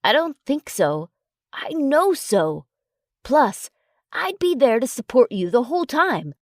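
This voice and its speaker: A woman voicing a young male werewolf alpha in an audiobook, speaking softly and coaxingly. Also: high-pitched, big pitch swings, takes it slowly, dry recording, studio quality, pitched above the performer's own voice, full character voice. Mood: tender. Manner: soft, coaxing delivery.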